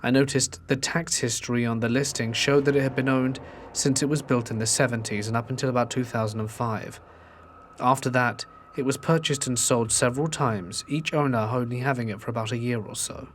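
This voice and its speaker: A man tells ghost stories in a steady, measured storytelling voice at an ordinary middle pitch. A faint hiss sits under the narration. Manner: steady storytelling delivery, reading submitted accounts.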